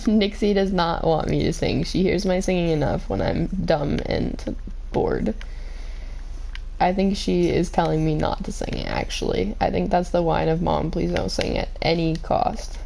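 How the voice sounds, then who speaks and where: Slow, gravelly-voiced woman streamer. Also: fairly low pitch, warm tone, slight background noise. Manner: chatty, scolds and soothes her dog between game remarks. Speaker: livestreamer. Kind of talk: casual gaming stream chat.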